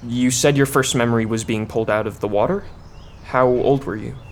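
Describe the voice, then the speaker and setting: A man's voice: medium pitch, steady tone, brisk, a little hiss or hum, lightly acted. An actor playing an earnest, curious young male companion in an audio drama. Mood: friendly, curious.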